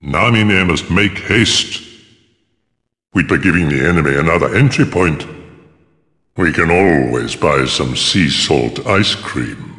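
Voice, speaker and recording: An actor playing a commanding, secretive schemer who speaks in a measured, curt way. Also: male voice, rumbling low, expressive, bright, forceful tone, heavily acted. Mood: cold. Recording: echoey, long reverb.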